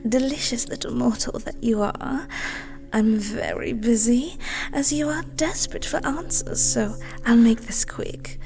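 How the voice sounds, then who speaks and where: A woman's voice: a little high, unhurried, vocal fry, darkish tone, faint music behind. A woman playing a haughty, flirtatious goddess of love, grand, breezy and condescending in an audio roleplay.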